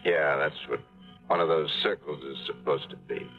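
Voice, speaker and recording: A terse, deep-voiced adventurer. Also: male voice, normal speed, narrow, tinny audio. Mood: laconic. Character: hard-bitten adventurer narrating, man, dry and terse. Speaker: narrator and lead. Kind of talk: 1950s radio drama acting. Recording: scratchy phone-thin 1950s radio broadcast with music.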